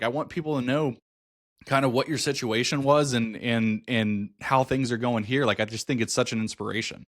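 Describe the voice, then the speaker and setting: Male podcast host, mid pitch, warmly encouraging, talks at length. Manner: encouraging, talks through points at length with restarts. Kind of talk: interview podcast.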